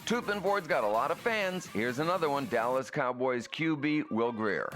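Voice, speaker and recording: A man's voice: medium pitch, animated, moderate pace. An American man reading a scripted radio advertisement as the interviewer. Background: music bed.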